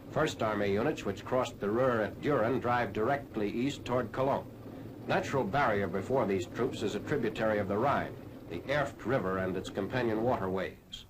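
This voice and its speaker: An American man reading scripted wartime newsreel narration in a formal, clipped announcer style. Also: lowish voice. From United States. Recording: noisy 1940s film soundtrack.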